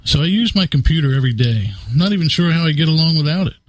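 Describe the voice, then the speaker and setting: A man narrates a scripted radio ad in the first person. His voice is slightly high and very animated, and he moves along quickly. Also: no echo.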